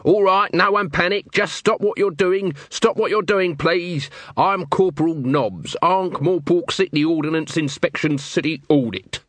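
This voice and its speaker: A man reading an audiobook, voicing a scrawny, sly male watchman who bluffs and talks fast. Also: on the high side, fairly bright tone, no room sound, voice pushed up. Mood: blustering. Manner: brash, fast-talking, bluffing.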